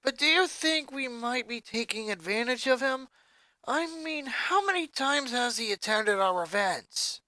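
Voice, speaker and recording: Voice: medium pitch, lively intonation, measured pace, bright, forceful tone, no room sound, pitched above the performer's own voice, rough recording. A reader voicing a fifteen-year-old rocker girl who talks casually, calling people "dude", and sounds concerned. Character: teen girl rocker, laid-back but concerned.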